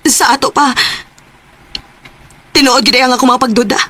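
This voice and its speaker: High-voiced, anguished young woman in radio drama. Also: steady tone, moderate pace, fairly bright tone. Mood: bitter. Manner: emotional, anguished delivery. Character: hurt, betrayed young woman bitter about love. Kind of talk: Cebuano radio drama acting. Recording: noisy radio drama recording.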